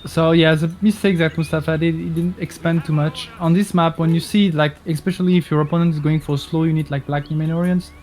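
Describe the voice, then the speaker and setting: A man co-casting a strategy game, explaining tactics in halting sentences with many restarts. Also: a little high, steady tone, darkish tone. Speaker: co-caster. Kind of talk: game analysis commentary. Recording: music playing behind.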